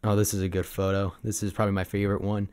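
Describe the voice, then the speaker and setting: Male actor as sentimental young sabertooth boyfriend for ASMR roleplay; lowish pitch, casual, gently hesitant delivery. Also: completely flat delivery, rapid-fire, clear, resonant tone, close to an everyday voice. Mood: fond, nostalgic.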